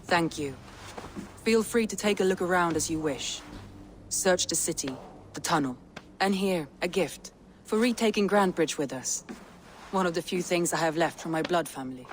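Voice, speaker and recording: An actress playing a proud Viking warrior woman who leads her clan, speaking with deliberate authority in a video game. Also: on the low side, animated, a little hiss or hum, close to an everyday voice. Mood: warm, grateful. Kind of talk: video game cutscene acting.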